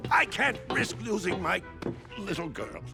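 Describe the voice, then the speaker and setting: An actor playing a stubborn, protective old father in a cartoon game, scolding and flustered, with a gravelly creak. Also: high voice, very animated, unhurried, over music, exaggerated voice.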